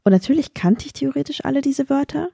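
A woman's voice: high-pitched, lively intonation, fast talker, vocal fry, warm tone, dry recording, clean studio sound. A woman who grew up speaking Vietnamese, talking thoughtfully and candidly about her own feelings in a podcast conversation. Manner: thoughtful, candid, self-ironic. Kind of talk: reflective personal conversation.

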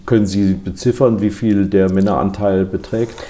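A man's voice: fairly low pitch, fairly even intonation, moderate pace, dark tone. An older man hosting an interview, asking questions in a jovial way with frequent quips. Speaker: interviewer, host.

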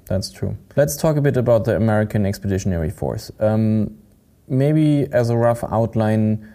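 A man hosts an interview and asks his questions with plenty of hesitant pauses and fillers. His voice sits fairly low and sounds mellow and dark. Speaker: podcast host.

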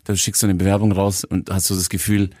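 A man with a fairly low voice co-hosts a relaxed conversation. He speaks in a colloquial way, turning directly to the guest. The recording is dry, without audible room. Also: quick pace, no room sound. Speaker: co-host. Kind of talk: casual chat.

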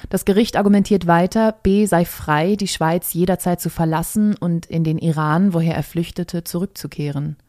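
A woman's voice: low voice, dark tone, no echo, clean studio sound. A woman reading a scripted news report aloud as a presenter.

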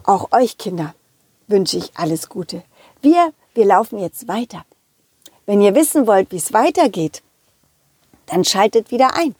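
A woman's voice: medium pitch, sing-song, unhurried, long pauses, darkish tone, husky, no room sound. A woman voicing a confident, loyal, friendly dog who tells children's audio stories and speaks straight to young listeners. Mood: cheerful, friendly. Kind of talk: children's audio play narration.

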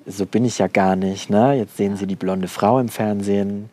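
A man's voice: fairly low pitch, steady tone, moderate pace, mellow, no room sound. Joking man hosting an interview. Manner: joking, laughs easily. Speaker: podcast host, interviewer. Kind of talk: casual interview chat. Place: outdoors.

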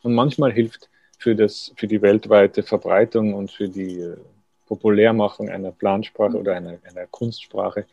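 Guy in a radio interview, low gravelly voice, thinks out loud, pokes fun at himself. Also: steady tone, normal speed, no room sound, highs cut off. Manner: musing, thoughtful, self-deprecating. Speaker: writer as interview guest.

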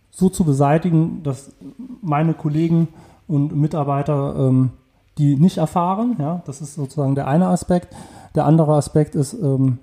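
A man in his forties from the Saarland speaks in a dark, gravelly voice that sits a little high for a man. He answers interview questions casually, stopping often for fillers and restarting his sentences. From Saarland, Germany. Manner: hesitant, many fillers and restarts. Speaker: entrepreneur, interview guest.

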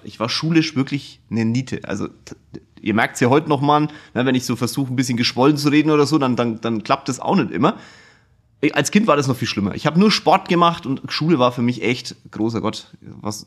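A man's voice: medium pitch, lively intonation, a little fast. A man, hosting his own podcast and telling his life story in a casual, frank, self-deprecating way from his office. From Kulmbach, Upper Franconia, Germany. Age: 40s. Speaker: podcast host. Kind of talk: casual personal storytelling monologue.

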